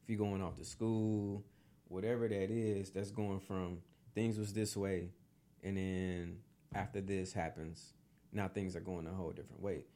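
A man's voice: sits low, flat delivery, a little slow, dark tone. A man hosting a casual chat, reflective and affirming, who draws out his phrases.

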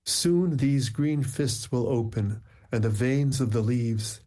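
A middle-aged man with a mid-pitched voice speaks in a measured, reflective way. In conversation he hesitates with soft ums. When he reads his poems he slows right down and lets each line settle. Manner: measured, reflective, many ums when talking, slow deliberate reading. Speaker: poet, interview guest. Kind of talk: conversation and slow poetry reading.

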